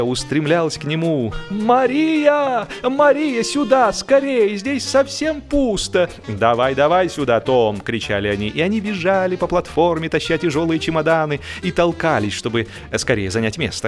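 A man narrating a humorous story expressively, with theatrical, drawn-out emphasis on words. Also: medium pitch. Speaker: audiobook narrator.

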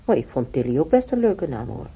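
An actress playing a kindly, resigned elderly woman in hospital in a radio play, musing quietly to herself. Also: low voice, very animated, brisk, husky, dull audio, exaggerated voice. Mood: kindly reminiscing. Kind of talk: Dutch radio play acting. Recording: noisy radio drama recording.

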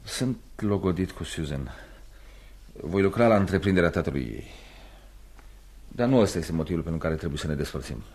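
An ambitious, self-interested young man in a radio play. His voice is low and husky, with a very animated melodic range. He comes across as guarded yet deferential. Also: exaggerated voice. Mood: evasive, earnest. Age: young adult. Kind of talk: radio theatre acting.

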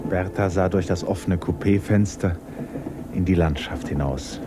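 An actor narrating a literary 1950s German radio drama as a composed, observant storyteller. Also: male voice, deep voice, normal speed, noisy background, close to an everyday voice.

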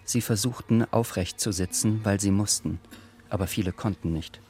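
A man narrating a literary radio drama in a measured, sober scripted style. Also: on the low side, fairly even intonation, darkish tone, soft background music.

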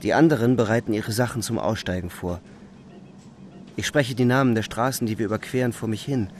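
An actor playing a weary, haunted young soldier, narrating a radio play in brooding, restrained short sentences. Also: male voice, lowish voice, fairly quick, a little hiss or hum, lightly acted. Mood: wistful. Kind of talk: literary radio play narration, inner monologue.

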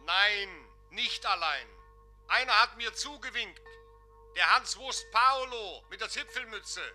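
A man's voice: high voice, takes it slowly, bright, forceful tone, soft background music, exaggerated voice. A man in a German radio play acting a dreamy, entranced pastor, speaking in short, drifting phrases. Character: dreamy pastor drawn away uphill, quietly entranced.

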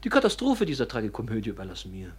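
An actor playing a treacherous young nobleman in a classic radio drama, with a husky, insinuating, theatrical delivery. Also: fairly low pitch, wildly swooping pitch, fast, heavily acted. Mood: sly, commanding. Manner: theatrical, insinuating, swinging from hushed to loud.

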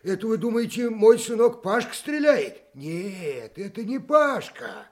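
A shifty older merchant father in a radio play. He speaks slowly in a fairly high voice that swings widely, stammering and protesting in a wheedling way. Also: sing-song. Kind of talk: Soviet radio drama acting.

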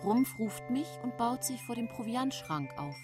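A woman's voice: fairly high pitch, animated, moderate pace, music in the background, close to an everyday voice. An actress playing a composed, matter-of-fact woman poisoner who recounts her case in sober radio play narration. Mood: sober, tense. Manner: sober, controlled storytelling.

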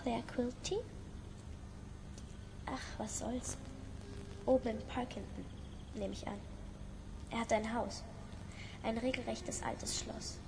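An actress playing a weary, indifferent informant in a radio drama, languid and offhand. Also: female voice, on the high side, steady tone, slow pace, pauses often. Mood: resigned. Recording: clearly noisy background.

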